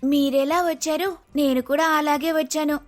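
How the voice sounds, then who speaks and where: A woman's voice: sits high, steady tone, normal speed, faint music behind, natural voice. A woman voicing a bold, scheming undercover officer in a dramatic story, commanding and confident. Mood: taunting, triumphant. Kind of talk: dramatic moral-story voice acting.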